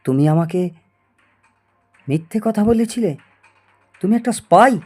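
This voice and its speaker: A man's voice: high voice, animated, unhurried, a little mellow, faint music behind. A man acting a proud, obsessive middle-aged scientist in a Bengali audio story, theatrical and prone to stammer when agitated. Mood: shocked, agitated. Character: proud obsessive male scientist, boastful and stubborn.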